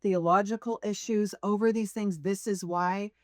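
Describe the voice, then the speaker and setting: A woman's voice: mid-range voice, fairly even intonation, moderate pace, a little mellow, no room sound, studio quality. A woman speaking emphatically about faith in a casual conversation. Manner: emphatic, impassioned. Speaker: guest.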